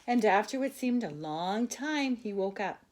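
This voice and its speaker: An older woman reads a children's picture book aloud in a gentle, expressive way, with asides to a young listener. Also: mid-range voice, measured pace, a little hiss or hum. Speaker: grandmother storyteller.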